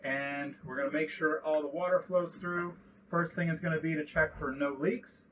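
A man's voice: fairly high pitch, steady tone, moderate pace, distant mic, muffled recording, slight background noise. A man in his thirties walking through a repair step by step while working in a cramped sailboat cabin, recorded on a nearby action camera. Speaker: boat owner showing a repair. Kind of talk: hands-on how-to walkthrough.

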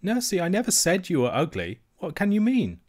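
A man's voice: huge pitch swings, brisk, a little mellow, full character voice. A man voicing a young princess girl, earnest and proud, in a raised childlike voice. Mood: indignant. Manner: high, earnest childlike pleading.

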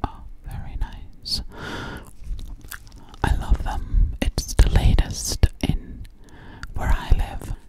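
A woman whispering breathily and soothingly, close to the microphone, repeating words in an ASMR personal attention roleplay as a sweet, slightly sassy alien girl. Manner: slow, repetitive, soothing, close to the mic. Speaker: ASMR creator playing a character. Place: small dim room, indoors. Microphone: microphone right at the mouth.

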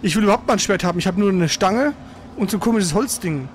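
High-pitched man gaming, noisy background. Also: lively intonation, fast talker. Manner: exclamatory, swearing, grumbling. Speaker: gaming streamer playing and commenting. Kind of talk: casual live gameplay commentary with a co-player.

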